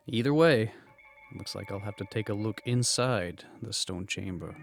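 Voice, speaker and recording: A calm, scholarly investigator of the occult, voiced by a man, weighs each point thoughtfully. His voice sits low and moves slowly over soft music. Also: vocal fry. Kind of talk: fan manga dub.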